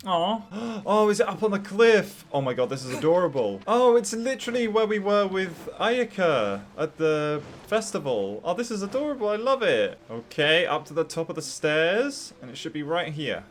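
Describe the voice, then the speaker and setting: A video game streamer giving casual, soft-hearted, gushing reactions during play. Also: mid-range voice, sing-song, normal speed, few pauses. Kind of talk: casual gameplay reaction commentary. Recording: game music behind the voice.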